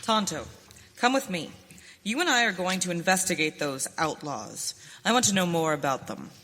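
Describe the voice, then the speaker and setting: Masked cowboy-era heroine, firm n proper, radio play, echoey noisy hall. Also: female voice, fairly low pitch, animated, normal speed, a bit bright. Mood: calm, commanding. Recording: noisy hall with long reverb.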